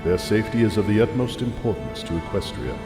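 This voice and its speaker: Grave mentor played by a man: theatrical letter narration, expressive, echoey, strong music bed. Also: lowish voice, quick pace. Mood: solemn warning. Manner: solemn, formal, theatrical. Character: grave, learned male mentor voicing his farewell letter. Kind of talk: audio drama acting, letter narration. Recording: echoey reverb under music.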